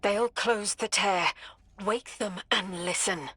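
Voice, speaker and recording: Resolute game leader; medium pitch, tense forceful tone, curt commands. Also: female voice, takes it slowly, slightly rough, no echo, full character voice. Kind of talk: dramatic video game acting.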